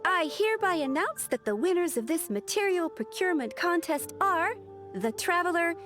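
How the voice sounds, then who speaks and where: An actress playing a polite young secretary with a formal, courteous manner. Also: female voice, extremely high pitch, sing-song, moderate pace. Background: game music.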